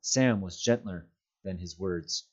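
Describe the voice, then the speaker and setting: A man reading a novel aloud as a volunteer storyteller, with expressive, dramatic narration. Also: low voice, slow pace, breathy edge, highs cut off. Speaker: volunteer community reader.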